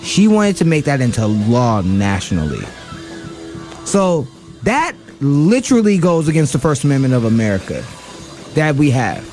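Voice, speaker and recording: A man's voice: medium pitch, very animated, moderate pace, darkish tone, soft background music. A man co-hosting a radio show, giving heated political commentary with many fillers and restarts.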